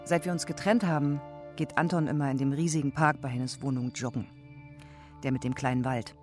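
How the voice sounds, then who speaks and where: A woman's voice: a bass voice, steady tone, a little fast, faint music behind. An actress playing a bitter, resentful woman grieving her ex, scornful and emphatic, in a naturalistic radio crime drama.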